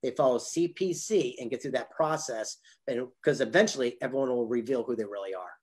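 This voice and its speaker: Husky older man speaking motivationally. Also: medium pitch, a little fast, a little mellow. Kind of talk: motivational storytelling. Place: indoor room on a video call. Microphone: video call.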